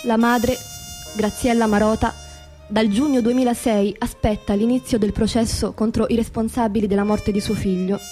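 A woman giving scripted theatrical narration as a stage narrator, solemn and measured, with deliberate phrasing. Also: a little high, steady tone, mellow, faint music behind.

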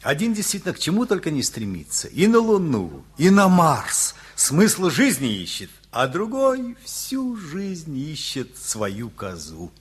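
Husky, animated family peacemaker. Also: male voice, on the high side, unhurried, hardly any pauses, full character voice. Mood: wry musing. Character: genial, peacemaking, philosophizing family man. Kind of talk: stage-style radio play acting.

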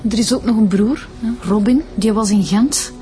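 A woman's voice: medium pitch, normal speed, dark tone, soft background music, lightly acted. A woman playing a composed, considerate police investigator, businesslike, in a naturalistic radio drama.

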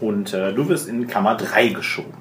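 A man's voice: on the low side, a little fast. A man narrating a tabletop role-playing game as game master, improvising descriptions with colloquial asides. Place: room with other players at a table. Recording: roomy, noisy group table recording.

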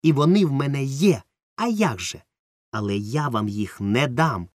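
A man's voice: medium pitch, lively intonation, unhurried, no room sound, studio quality, voice pushed up, close to an everyday voice. A man voicing a sly, cackling old witch woman who teases and sings her lines. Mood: teasing. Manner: singsong, teasing.

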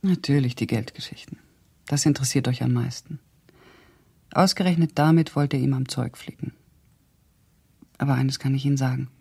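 An actress playing a blunt, sardonic older woman with a husky, gravelly voice, indignantly loyal to an old friend, recounting memories in a German radio drama. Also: extremely low pitch, dark tone, heavily acted. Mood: dismissive, pointed, then indignant, emphatic. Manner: blunt, sardonic, deliberate storytelling.

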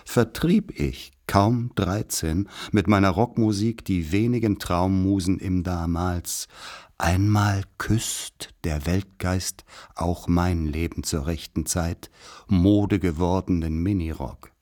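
Radio drama narrator: wry man recalling boyhood, very deep, unhurried, measured and solemn. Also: a little mellow. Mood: reflective, incantatory. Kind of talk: literary radio play narration.

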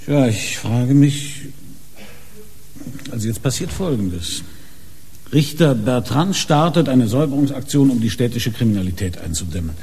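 An actor playing a dogged, impatient police inspector in a radio crime drama, probing and suspicious, with a husky, muted voice. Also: male voice, medium pitch, expressive, normal speed, darkish tone. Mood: musing, suspicious. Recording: reverberant hall-like radio drama scene.

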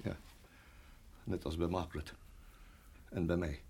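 An actor playing a shaken middle-aged father in a radio drama, speaking haltingly and repeating his words. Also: low voice, steady tone, mellow, a little hiss or hum. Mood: haunted, brooding. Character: shaken father, a former Rhodesian farmer, hesitant and troubled.

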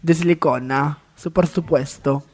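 Casting: man as flustered female celebrity guest, unhurried and halting, gushing, for radio comedy. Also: a little high, steady tone, darkish tone, husky. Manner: halting, repeating words. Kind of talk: absurd radio comedy sketch.

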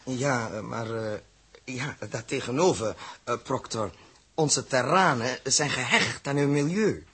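An actor in a 1960s science-fiction radio drama playing an earnest, respectful spaceship captain with a hesitant manner. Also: male voice, medium pitch, measured pace, a bit bright, husky. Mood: worried.

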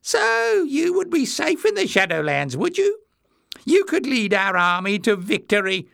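A man's voice: very high-pitched, sing-song, unhurried, vocal fry, fairly bright tone, no echo, studio quality, pitched above the performer's own voice, exaggerated voice. A man voicing a small, malicious former acrobat in a story, sly and jeering with mock courtesy. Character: malicious little man, former palace acrobat, mocking and spiteful.

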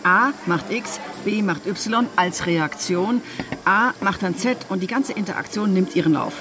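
A woman's voice: medium pitch, lively intonation, a little slow, natural voice. A woman playing a shrewd, educated ex-bank robber turned consultant in a radio drama, explaining things in a matter-of-fact, instructive way. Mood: instructive, assured. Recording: loud music bed underneath.

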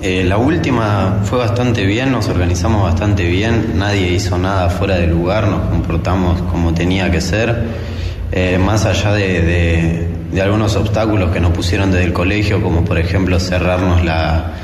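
A man's voice: deep voice, steady tone, normal speed, darkish tone. A teenage male student with a Buenos Aires Argentine accent, answering interview questions in a casual way. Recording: echoey hall, faint background noise.

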